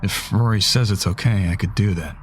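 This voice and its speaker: A man's voice: lowish voice, fairly quick, over music, natural voice. A man playing a weary, guarded mortal gunman in an audio drama, blunt and world-weary in manner. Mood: matter-of-fact.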